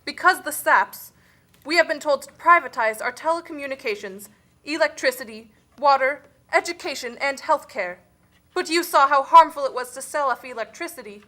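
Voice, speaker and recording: A woman acting as a troubled spokesperson for a poor country in a puppet show. The voice is high and bright, reciting earnestly. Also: animated, normal speed. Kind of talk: scripted educational puppet show.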